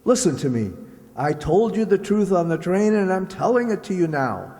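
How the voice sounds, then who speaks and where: A man's voice: sits high, big pitch swings, moderate pace, mellow. An actor playing a brash, sardonic man on the run in a radio play, with a wry, bossy manner. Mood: dry, insistent. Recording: echoey hall, noisy background.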